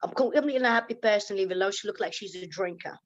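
A woman's voice: mid-range voice, fast talker, dull audio. A woman from Lithuania with a Lithuanian accent, talking bluntly in a casual interview, swearing and laughing often. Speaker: interview guest.

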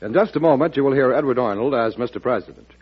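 A man's voice: medium pitch, fast, slightly rough. A man announcing and telling a story in an emphatic, polished old-time radio style. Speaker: announcer and quiz host. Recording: muffled vintage radio broadcast.

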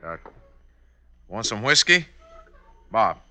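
A gruff older outlaw brother from a classic radio western. His American voice is deep and very hard-edged, with folksy, clipped lines and a cool, scheming temper. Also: very animated, takes it slowly, bright, forceful tone, heavily acted. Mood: folksy, hearty. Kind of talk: old-time radio western acting.

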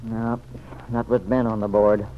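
A man's voice: lowish voice, flat delivery, measured pace, lightly acted. An actor playing a plainspoken, loyal younger man with a blunt, folksy manner in an old-time radio serial. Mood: resigned. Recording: muffled old radio recording, faint noise.

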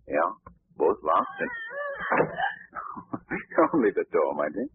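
A shrewd gentleman detective. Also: low-pitched, huge pitch swings, husky, no echo, full character voice. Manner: measured, probing questions. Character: calm shrewd male gentleman sleuth. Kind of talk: 1940s radio acting. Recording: lo-fi old radio broadcast.

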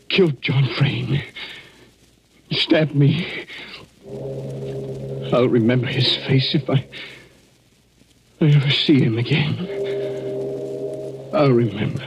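Pained, slow-speaking stabbing victim. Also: male voice, medium pitch. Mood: pained, vengeful. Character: stabbed man gasping out his vengeance. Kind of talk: 1940s radio drama acting. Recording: noisy, muffled old radio recording.

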